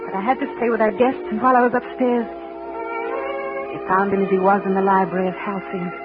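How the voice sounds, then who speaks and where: An actress playing a devoted, anxious older aunt, recounting events in an old radio drama. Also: female voice, mid-range voice, huge pitch swings, a little fast. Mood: troubled. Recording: tinny old radio broadcast, music behind.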